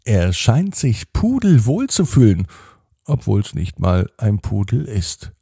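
A man narrating a children's bedtime story in a gentle, unhurried storytelling manner. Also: lowish voice, very animated, vocal fry, slightly rough, dry recording, clean studio sound. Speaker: podcast host and storyteller.

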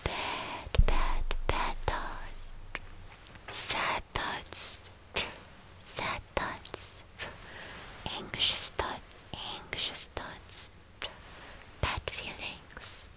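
A woman doing an ASMR roleplay as a tender, protective anime demon girlfriend, in a breathy whisper, repeating words soothingly. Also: low-quality recording. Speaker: ASMR performer.

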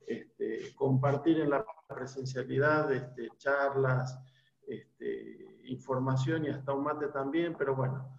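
Argentine man, gravelly voice, hesitant lecturer, echoey room, dull lo-fi call audio. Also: mid-range voice, slow pace. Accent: Argentine Spanish. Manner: hesitant, many fillers and restarts. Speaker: university lecturer. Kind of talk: informal talk to prospective students. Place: small room at home. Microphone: laptop webcam on video call.

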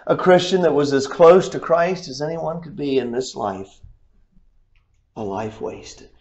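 Young male preacher at a pulpit, slow delivery, high voice that jumps up and drops low, loud then hushed. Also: dull audio. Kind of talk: sermon. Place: church sanctuary, at a pulpit.

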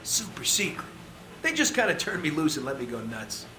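An actor playing an unhinged, chatty rogue CIA operative in a video game, rambling with flippant, jokey menace. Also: male voice, on the high side, huge pitch swings, moderate pace, echoey room. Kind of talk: video game cutscene acting.

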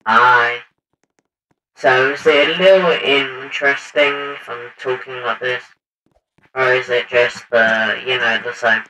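A man's voice: mid-range voice, fairly even intonation, slow pace, poor audio. A man hosting a gaming video, chatting casually and self-deprecatingly to viewers as he plays. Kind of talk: casual gameplay commentary. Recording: quiet mic, music behind.

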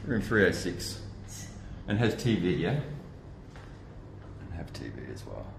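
A man's voice: low voice, very animated, measured pace, creaky, a little hiss or hum, poor audio. A man casually narrating a walk-through review as a vlogger, recorded on a handheld phone while walking around. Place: walking around a hotel, rooms and rooftop.

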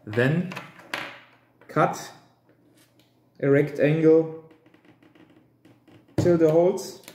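A man in his thirties presenting a hands-on DIY tutorial with halting, step-by-step instructions in simple non-native English. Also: a little high, mellow. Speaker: amateur radio hobbyist presenter. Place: hard-walled room indoors at a table. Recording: roomy, echoing indoor sound.

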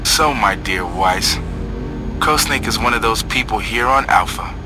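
A man's voice: on the low side, normal speed, lightly acted. A man playing a cocky, foul-mouthed insider in a video game, slangy and smug. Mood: accusing. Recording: loud game music underneath.

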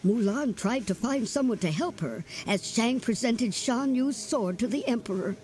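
Female storybook reader, expressive and animated, music under. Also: a little high, normal speed. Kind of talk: read-aloud storybook narration.

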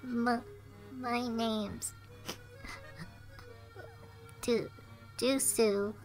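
Tiny, high, timid sprite. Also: female voice, fairly even intonation, darkish tone, faint music behind. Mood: frightened. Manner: halting, timid. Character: timid, lost, tearful tiny peacock sprite. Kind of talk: comic dub cartoon acting.

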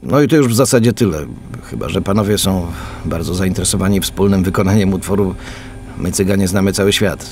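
Radio play: earnest, loyal policeman, deepish voice, deferential and halting, over a noisy background. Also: darkish tone. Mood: matter-of-fact. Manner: formal, deferential, hesitant. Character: polite, earnest policeman, loyal to his men. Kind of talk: radio drama dialogue.

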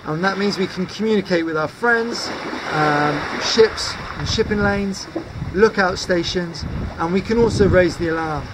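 A man's voice: high-pitched, noisy background. A man in his thirties from the UK, giving a practical, upbeat demonstration of safety gear to a camera outdoors on coastal rocks. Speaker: sea kayaking instructor.

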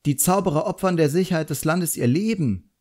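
A man's voice: on the high side, a little fast, darkish tone, voice pushed up, close to an everyday voice. A man voicing a proud, earnest boy apprentice magician in an audiobook, naive and easily indignant.

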